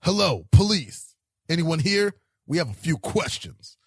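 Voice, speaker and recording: A man playing a world-weary, secretive police detective in a hard-boiled sci-fi audio drama, terse and deadpan, over faint noise. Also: fairly high pitch, measured pace, husky, no echo, clean studio sound, full character voice. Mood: calling out.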